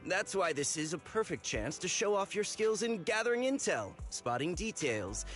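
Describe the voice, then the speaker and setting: An actor playing a smooth-talking male intelligence broker in a video game, persuasive and explanatory like a salesman. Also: high voice, sing-song, moderate pace, fairly bright tone, faint music behind. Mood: persuasive, reassuring.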